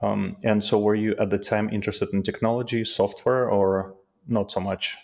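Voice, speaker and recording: A man's voice: on the low side, level tone, normal speed, vocal fry, a little mellow. A man hosting a podcast, asking questions in a hesitant way with fillers. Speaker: podcast host. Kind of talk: interview questions.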